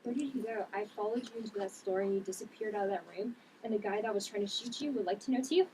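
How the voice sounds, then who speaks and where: A woman's voice: medium pitch, fast, darkish tone, lightly acted. Blunt, tough sister, read by a woman, noisy distant recording. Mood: defensive. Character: tough, defensive sister with superhuman strength. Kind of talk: table read of a drama script. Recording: distant mic, clear background noise.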